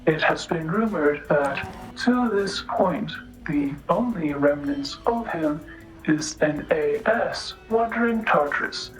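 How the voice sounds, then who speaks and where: An actress playing a polite, sly female android bartender in a noir audio drama, speaking formally and literally in halting, precise phrases. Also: low-pitched, expressive, faint music behind, poor audio. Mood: deadpan needling.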